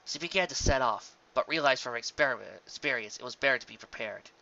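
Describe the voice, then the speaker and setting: Amateur audiobook narrator, mid-pitched and forceful, measured dramatic delivery, dull lo-fi sound. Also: vocal fry. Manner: measured, occasionally stumbling over words. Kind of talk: scripted fan fiction narration, dramatic reading.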